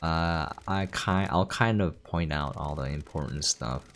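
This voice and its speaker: A man's voice: very deep voice, a little slow, fairly bright tone. A man giving casual, explanatory gameplay commentary, talking informally to his viewers. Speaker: game streamer giving a preview. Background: game music.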